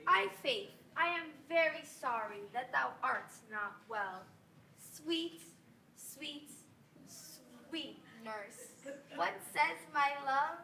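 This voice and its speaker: A woman's voice: extremely high pitch, lively intonation, takes it slowly, gravelly, bright, forceful tone, exaggerated voice. A woman acting an impatient, lovesick teenage girl, eager and coaxing, in theatrical Shakespearean style in an echoey room. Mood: coaxing, exasperated. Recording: echoey room with background noise.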